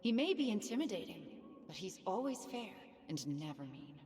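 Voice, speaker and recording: A woman playing a gentle, affectionate mother in a video game, speaking with patient encouragement. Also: medium pitch, big pitch swings, normal speed, lightly acted. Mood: patient, encouraging. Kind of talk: game cutscene voice acting. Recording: long reverb.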